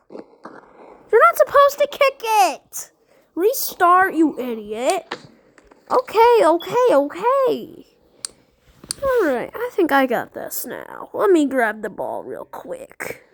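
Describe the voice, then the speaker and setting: A performer voicing excitable, bickering schoolkids at play in improvised dialogue, with casual, teasing slang and exclamations. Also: extremely high pitch, heavily acted. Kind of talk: playful improvised character dialogue.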